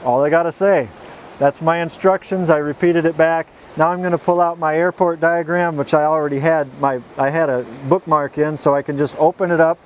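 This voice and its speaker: Man, slightly high voice, flight instructor, informal step-by-step tutorial, folksy and straightforward, faint outdoor noise. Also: fairly even intonation, brisk.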